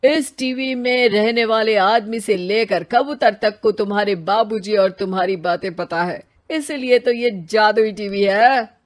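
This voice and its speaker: Woman voicing a cheeky, slow-talking magic-TV newsreader. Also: mid-range voice, lightly acted. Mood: chatty, knowing. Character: cheeky, touchy newsreader living inside magic television. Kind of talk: Hindi moral-story cartoon dubbing.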